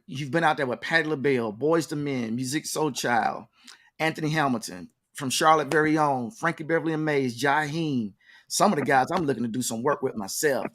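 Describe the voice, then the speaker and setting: A man's voice: fairly high pitch, a bit bright. A man hosting a casual interview show in a folksy, hyped-up manner, often repeating words for emphasis.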